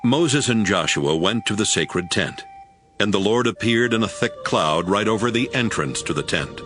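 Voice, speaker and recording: Low-pitched male scripture narrator. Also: lively intonation, moderate pace, a bit bright, faint music behind.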